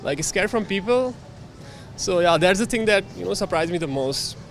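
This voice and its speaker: Male interviewee, high voice, halting filler-laden answers, faint music behind. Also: animated, fairly bright tone. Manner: hesitant, with fillers. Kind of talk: casual street interview answers. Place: outdoors on a city street.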